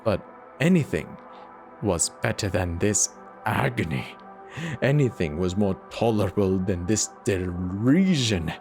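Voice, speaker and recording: A man voicing a guilt-racked, paranoid murderer in a theatrical, deliberate dramatic horror reading with drawn-out pauses. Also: on the low side, expressive, a little mellow, soft background music. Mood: frantic, tormented. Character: guilt-racked madman murderer confessing in growing paranoid agitation. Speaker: storyteller.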